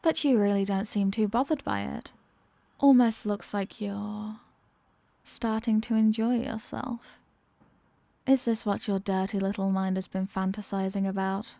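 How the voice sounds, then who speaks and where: A dominant villainess voiced by a woman purrs at her captive in an intimate audio roleplay. Her voice sits at a medium pitch with a heavy fry, teasing and seductive, often sinking toward a whisper. The sound is very dry and close. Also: dark tone, dry recording. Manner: teasing, sultry, often dropping into breathy whispers. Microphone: close microphone in a dry space.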